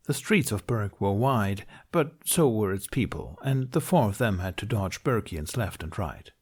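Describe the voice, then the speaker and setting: A man's voice: on the low side, expressive, moderate pace, husky. A man reading a fantasy story aloud as a scripted audiobook-style narration. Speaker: podfic narrator reading fan fiction.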